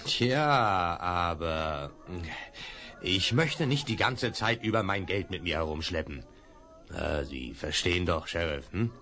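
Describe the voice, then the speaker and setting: An ingratiating old cattle dealer. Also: male voice, low voice, animated, takes it slowly, bright, forceful tone, heavily acted. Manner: ingratiating, persuasive. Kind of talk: 1970s radio play acting.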